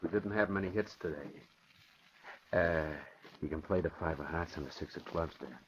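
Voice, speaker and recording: An actor playing a slangy, hedging gangster's underling in a 1940s-style radio crime drama. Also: male voice, low-pitched, normal speed, husky. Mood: casual, hedging. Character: nervous, chatty gangster underling in numbers racket. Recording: noisy, dull old radio recording.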